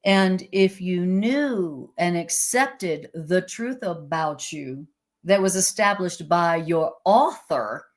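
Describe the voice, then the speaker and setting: A woman leading a live-streamed spiritual class in a chatty way, laughing easily, with a loud voice, into a microphone. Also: fairly low pitch. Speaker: spiritual teacher. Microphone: desk microphone.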